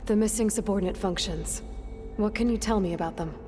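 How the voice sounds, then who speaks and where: Game heroine, female actor: young woman warrior, determined, blunt, plain-spoken questioner. Hall-like reverb, clear music underneath. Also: mid-range voice, fairly quick, mellow, natural voice. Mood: blunt, wary. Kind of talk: scripted video game dialogue.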